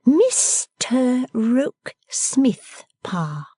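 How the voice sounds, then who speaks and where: Woman doing a high, teasing young woman. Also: very animated, moderate pace, warm tone, slightly rough, dry recording, studio quality. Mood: playful teasing. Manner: coaxing, emphatic and theatrical.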